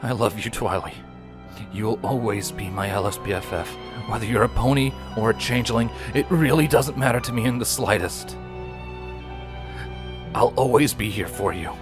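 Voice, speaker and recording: A man voicing a gentle, protective older-brother pony, soft and earnest in his remorse. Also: fairly low pitch, moderate pace, music in the background, close to an everyday voice. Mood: loving.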